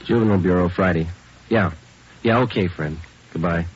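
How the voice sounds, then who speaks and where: An actor playing a terse, deadpan male police detective sergeant in a vintage radio crime drama. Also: sits low, moderate pace, close to an everyday voice. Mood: businesslike. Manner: clipped, matter-of-fact, deadpan. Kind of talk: 1940s-50s radio police drama acting and narration. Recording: noisy muffled old radio recording with clicks.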